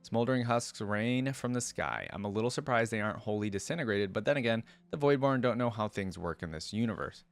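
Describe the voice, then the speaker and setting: A man reading a story aloud and breaking into casual, expressive, slangy commentary as a reaction host. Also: lowish voice, brisk, creaky, few pauses.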